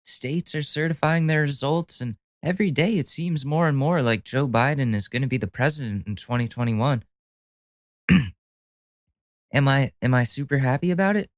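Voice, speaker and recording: A man's voice: medium pitch, moderate pace, no room sound, clean studio sound. A young man hosting a solo podcast, talking casually with a stuffy nose, rambling and joking at his own expense with the odd swear word. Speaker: podcast host.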